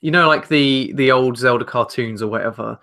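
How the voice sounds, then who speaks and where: Casting: male co-host, twenties, medium pitch, bright forward tone, casual and slightly hesitant. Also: fairly quick, gravelly. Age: 20s. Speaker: podcast co-host. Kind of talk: casual chat. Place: home room, indoors.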